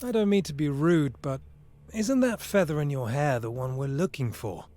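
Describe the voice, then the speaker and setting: A man's voice: fairly high pitch, animated, moderate pace, warm tone, natural voice. A man playing a good-natured, dryly sardonic hero in a fantasy video game, polite and wry.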